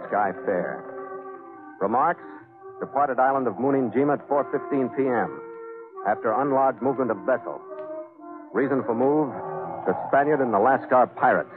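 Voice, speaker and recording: A seasoned sea captain, acted by a man, tells his tale in the terse manner of 1940s radio adventure. The recording is tinny and narrow, with music clearly behind his medium-pitched voice. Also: close to an everyday voice. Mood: terse, official. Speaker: lead actor and narrator.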